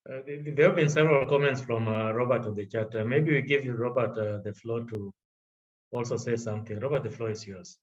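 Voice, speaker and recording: A man chairing an online meeting, halting and full of fillers, heard over a dull lo-fi line. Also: mid-range voice. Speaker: meeting moderator.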